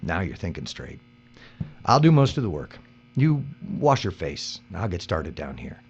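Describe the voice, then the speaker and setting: An actor playing a hard-nosed, pragmatic captive worker in an audio drama, terse and urgent. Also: male voice, low voice, big pitch swings, a little slow, slightly rough, exaggerated voice. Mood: resigned. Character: hard-nosed, pragmatic male captive worker keeping his partner alive. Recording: dull, muffled recording.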